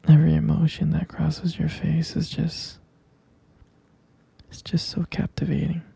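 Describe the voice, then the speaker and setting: Male actor for tender vampire lover, ASMR sleep-aid roleplay. Intimate whisper, heavy creak, flat soothing delivery, halting and doting. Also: fairly high pitch, no echo, full character voice. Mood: adoring, soothing. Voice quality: breathy whisper with gravelly fry. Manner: slow, halting, softly repeating himself.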